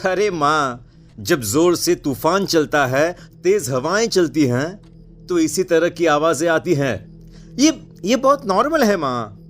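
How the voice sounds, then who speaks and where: A man playing a headstrong seventeen-year-old son in an animated horror story, exasperated and insistent. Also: on the high side, slow pace, soft background music, full character voice. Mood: reassuring, dismissive.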